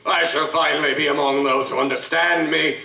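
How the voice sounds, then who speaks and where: A man's voice: a little high, fairly even intonation, fairly quick, creaky, sharp tone, heavily acted. A man playing a savage, articulate monstrous killer in a live audio drama, theatrical, grandiloquent and contemptuous. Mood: gloating. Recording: roomy live stage, distant mic.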